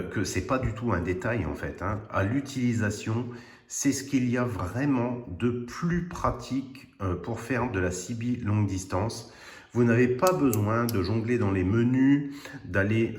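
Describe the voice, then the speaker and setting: Low-voiced Frenchman in a roomy space. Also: a little mellow. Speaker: radio hobbyist presenter. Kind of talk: informal product demonstration. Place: indoors at home radio station. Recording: roomy, echoing room sound.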